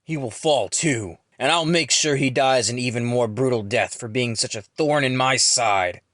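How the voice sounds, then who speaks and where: Young male villain, acted by a man: arrogant, theatrical, menacing, lively range, dry sound. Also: on the high side, brisk, no room sound. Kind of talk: audio story character acting.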